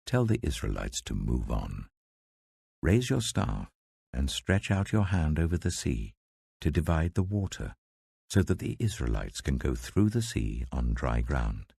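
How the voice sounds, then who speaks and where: A man plays a majestic God of scripture, extremely deep and gravelly. The delivery is slow and solemn, full of grave authority, and recorded dry and close. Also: sing-song, warm tone, no room sound, heavily acted. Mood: commanding, grave. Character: God, a commanding, grave, majestic male deity.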